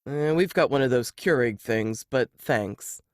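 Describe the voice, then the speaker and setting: A man's voice: mid-range voice, unhurried, no echo, clean studio sound, lightly acted. A woman voicing a shy, nervous young male grad student in an audiobook story, hesitant and polite. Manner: hesitant, embarrassed, apologetic. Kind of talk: audiobook character dialogue.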